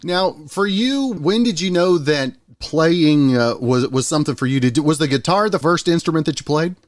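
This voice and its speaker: A man's voice: on the high side, lively intonation, normal speed, no room sound, studio quality. A man hosting a radio talk show, asking questions in a friendly, folksy way and often restarting his sentences. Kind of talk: casual radio interview.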